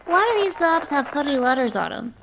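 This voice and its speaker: A woman's voice: high voice, sing-song, a little fast, noisy background. A woman playing a timid, gullible little sister in an audio drama. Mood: puzzled. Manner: innocent, childlike. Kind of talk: audio horror-comedy drama.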